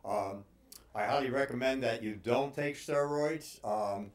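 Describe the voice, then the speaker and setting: A man in his sixties giving informal advice as a personal trainer, speaking haltingly with frequent pauses. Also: mid-range voice. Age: 60s. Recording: roomy, echoing room sound.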